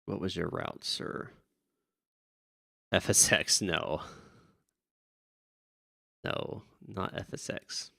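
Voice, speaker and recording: Guy running virtual atc on stream, really low voice, rough fry, chatty and keeps losing his train of thought. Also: lively intonation, drawn-out words, pauses often, bright, forceful tone. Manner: chatty, easily distracted, wanders off mid-thought; polite, calls pilots sir. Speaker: virtual air traffic controller and streamer. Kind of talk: casual live-stream chat mixed with radio phraseology.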